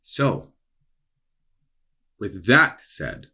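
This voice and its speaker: A young man with a fairly low, husky voice talks in a casual vlog style. His delivery is theatrical, leaning on repeated words and dramatic pauses for emphasis. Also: sing-song, pushed and bright. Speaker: author and video creator. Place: small dark room indoors.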